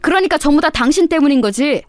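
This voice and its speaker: A woman's voice: high voice, lively intonation, quick pace, darkish tone, dry recording. A woman voicing an evasive, defensive husband who blames his wife in a dramatized story. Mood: blaming. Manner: defensive, blaming. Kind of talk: dramatized radio story dialogue.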